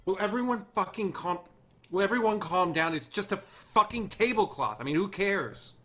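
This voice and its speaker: A man's voice: lively intonation, normal speed, pushed and bright. An actor playing a brash, volatile, profane male TV producer in a screenplay table read, glib one moment and bitter the next. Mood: frustrated. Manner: glib pitching, swearing when frustrated.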